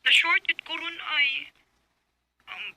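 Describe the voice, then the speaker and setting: A woman's voice: very high voice, fairly even intonation, slow, sharp tone, exaggerated voice. An actress playing a pleading woman short of money, with a Cebuano accent, in a radio drama. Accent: Cebuano Filipino. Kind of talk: Bisaya radio drama acting.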